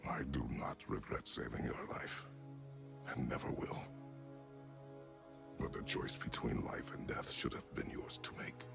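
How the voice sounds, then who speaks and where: A stoic warrior father from a cinematic video game. His voice is a very low growl, thick with gravel. He speaks tersely and solemnly, weighted with remorse, as music plays clearly behind him. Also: steady tone, heavily acted. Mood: grave regret. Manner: terse, grave, formal, measured. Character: stoic, weary, remorseful warrior father, middle-aged man.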